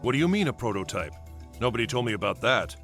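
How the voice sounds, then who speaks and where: A man's voice: mid-range voice, big pitch swings, fast, a bit bright, soft background music. A man playing a blunt special-ops agent in a video game, challenging and questioning what he is told. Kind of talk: English dub acting in a video game cutscene.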